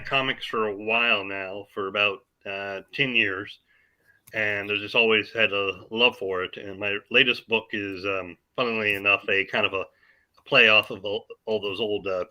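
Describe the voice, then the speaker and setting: A man's voice: lowish voice, pushed and bright, highs cut off. A man giving casual interview answers as a podcast guest over a video call, easygoing and self-deprecating, with frequent fillers. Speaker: podcast guest, comic creator.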